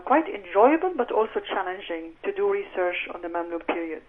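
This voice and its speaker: Woman on a tinny phone line. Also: mid-range voice. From Egypt. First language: Arabic. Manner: thoughtful, measured, with fillers. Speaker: novelist and academic guest. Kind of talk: radio interview answers. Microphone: telephone.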